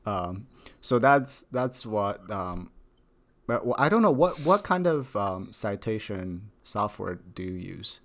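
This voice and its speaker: A man's voice: fairly low pitch, very animated, slow, fairly bright tone. A young man co-hosting a podcast as a grad student, chatting casually with lots of fillers and repeated words. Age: young adult. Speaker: podcast co-host. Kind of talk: casual chat.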